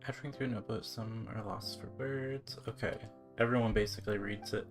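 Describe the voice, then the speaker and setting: Casual game streamer reading aloud, mid-low pitch. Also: fairly even intonation, fairly quick, music in the background. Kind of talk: casual live gameplay commentary and reading aloud.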